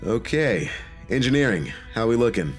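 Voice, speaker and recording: A man's voice: mid-range voice, big pitch swings, moderate pace, soft background music, lightly acted. An actor playing an easygoing, joking male mission captain in an audio drama. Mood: brisk, wry.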